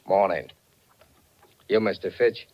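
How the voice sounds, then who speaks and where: An actor playing a menacing blackmailer on the run in 1940s radio style, curt, slangy and tough-talking. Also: male voice, low voice, slow. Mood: sly, threatening. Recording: dull, band-limited 1940s radio recording.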